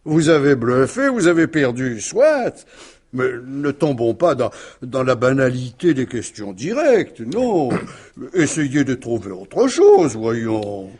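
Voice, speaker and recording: An actor playing a middle-aged, urbane and defiant murder suspect in a radio mystery, with an ironic, exasperated manner. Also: male voice, mid-range voice, sing-song, slow, darkish tone, husky, exaggerated voice. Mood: coolly defiant. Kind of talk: 1950s French radio drama acting.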